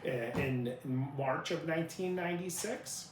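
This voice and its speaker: A man's voice: fairly high pitch, measured pace. An echoey, slightly distant recording with faint noise carries a man in his thirties telling his story. He is from Iraq and grew up in Utah, with Arabic as his native language, and he talks conversationally as an interview guest. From born in Iraq, grew up in Utah. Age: 30s. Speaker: interview guest, family lawyer.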